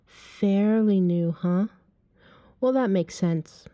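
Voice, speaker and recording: A self-assured vampire woman, played by a woman, flirts in an intimate ASMR roleplay. Her voice is soft and mellow, recorded dry and close. She takes her time, teasing and coaxing with breathy asides. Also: medium pitch, slow, dry recording, lightly acted. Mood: playful, inviting. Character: flirty, self-assured female vampire chatting up a stranger at a bar. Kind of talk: ASMR roleplay, acted one-sided dialogue.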